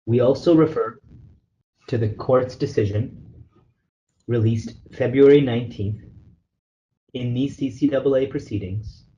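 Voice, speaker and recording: A man lawyer reading a formal legal letter aloud on a conference call, in a measured, formal way. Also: medium pitch, mellow. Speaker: lawyer, representative counsel leading a call. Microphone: telephone conference call. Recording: dull conference phone call with noise.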